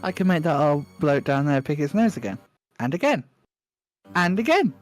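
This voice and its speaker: A woman's voice: low voice, big pitch swings, moderate pace, soft background music. A woman voicing a blunt, joking teenage boy from a storybook in her own voice. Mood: wry.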